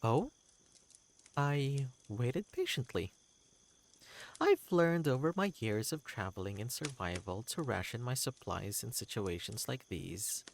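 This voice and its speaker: Man voicing an eccentric, theatrical wanderer creature, wildly swooping pitch. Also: very animated, unhurried, gravelly, slight background noise. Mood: excited, boastful. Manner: theatrical, whimsical, courteous. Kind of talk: character voice in a fantasy game reading.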